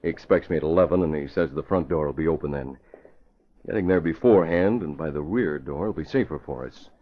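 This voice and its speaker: Muffled old radio serial, a really deep composed hero type, brisk and bossy, masked vigilante. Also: male voice. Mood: calm, commanding. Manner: brisk, decisive, gives orders. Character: composed, commanding newspaperman leading a double life as masked vigilante. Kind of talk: 1940s radio drama acting. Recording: muffled vintage radio recording.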